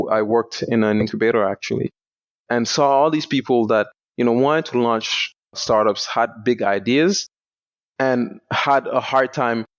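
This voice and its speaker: Measured man explaining, dull dry sound. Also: mid-range voice, no room sound. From Senegal. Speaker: startup founder, guest. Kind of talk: podcast interview answers.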